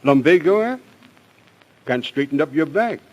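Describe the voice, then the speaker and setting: An affable upper-crust club gentleman in an old radio drama. His voice sits fairly high, and he sounds hearty, genteel and nosy. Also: expressive, unhurried, a little hiss or hum, poor audio. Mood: hearty, prying. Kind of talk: old-time radio thriller acting.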